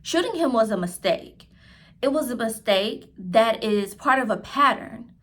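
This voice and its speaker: A woman's voice: a little high, expressive, unhurried, a bit bright. A woman reading the part of a patient mother who explains things to her child in a storybook. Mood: patient, serious.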